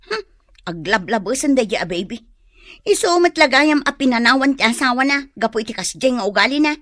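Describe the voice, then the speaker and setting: High, bright, scolding Ilocano wife. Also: female voice, expressive, brisk, dry recording, studio quality. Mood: suspicious, scolding. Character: sharp-tongued, nagging wife, quick to complain. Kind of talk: Ilocano radio drama acting.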